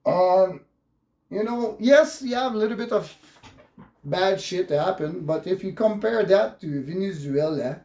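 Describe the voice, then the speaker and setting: An upset man with a French Canadian accent speaks in a fairly high voice for a male. His English is halting and repetitive, peppered with swearing as he rants off the cuff. The recording is strongly roomy and echoing. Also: animated, darkish tone. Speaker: vlogger. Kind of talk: unscripted political rant.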